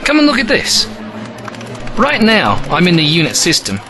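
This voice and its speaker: A man's voice: high voice, wildly swooping pitch, moderate pace, a crowd in the background. A man acting an eager, alien-obsessed computer hacker in an audio drama, explaining keenly. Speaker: actor. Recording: loud music bed behind the voice.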